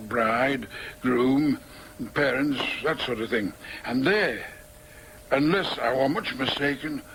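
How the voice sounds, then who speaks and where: A man's voice: medium pitch, fairly bright tone, slight background noise, close to an everyday voice, poor audio. An actor in a radio drama playing an older working-class Yorkshireman, chatty and matter-of-fact as he reminisces in broad colloquial speech.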